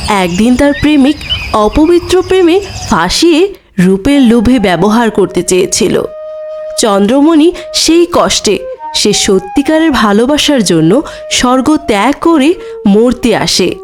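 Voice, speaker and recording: A woman's voice: on the high side, a little mellow. A woman narrating a scripted horror story as a storyteller.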